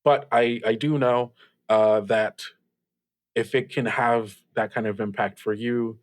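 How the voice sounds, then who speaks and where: A man's voice: mid-range voice, fairly even intonation, no echo, studio quality, natural voice. A man playing an earnest, hesitant host of a late-night radio call-in show. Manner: hesitant, halting, sincere.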